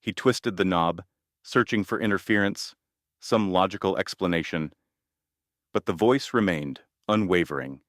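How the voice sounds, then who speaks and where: A man narrating a scripted scary story in a measured, ominous manner, in short deliberate phrases. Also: low-pitched, a bit bright, no room sound, studio quality.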